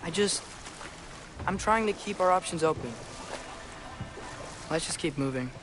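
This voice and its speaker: A man's voice: a little high, animated, measured pace, over music. An actor playing an earnest, curious teenage boy adventurer in a video game, thinking aloud in a youthful, eager way. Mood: defensive, hopeful. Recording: loud water and boat noise behind.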